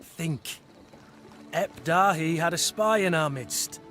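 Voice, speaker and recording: An actor playing a cocky rogue companion in a video game, talking in a wry, casual, persuasive manner. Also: male voice, a little high, animated, takes it slowly, a bit bright. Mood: persuasive, sly. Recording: music behind the voice.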